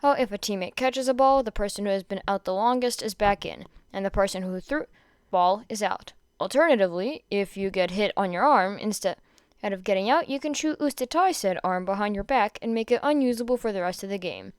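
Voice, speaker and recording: A woman voicing a weary male teacher in a story, giving instructions in a dry, deadpan drawl. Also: medium pitch, fairly quick, clean tone, no echo, close to an everyday voice. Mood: dry, matter-of-fact.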